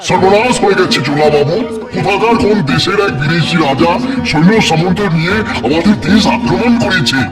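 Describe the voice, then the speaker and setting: A man's voice: high-pitched, a little fast, few pauses, recorded from a distance, lightly acted. A man playing an anxious royal messenger in a cartoon, urgent and respectful, over music. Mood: alarmed, urgent. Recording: loud music under the voice.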